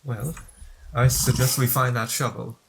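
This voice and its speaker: A man's voice: medium pitch, fairly even intonation, unhurried, vocal fry, noisy background. A man talking casually as a player in a tabletop roleplaying game. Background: background music.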